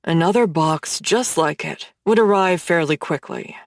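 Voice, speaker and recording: A woman voicing a dominant, smug man who issues commands in a low, slow, teasing way. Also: no room sound, clean studio sound. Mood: smug, commanding.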